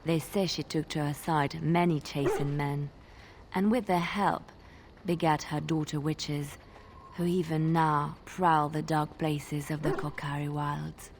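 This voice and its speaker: A female traveling minstrel from a fantasy video game, with a slightly low voice. She is a soft-spoken, wistful storyteller who spins her tales with lyrical, measured suspense. A little noise sits under the recording. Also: little pitch movement. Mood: dark, suspenseful. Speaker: game companion character.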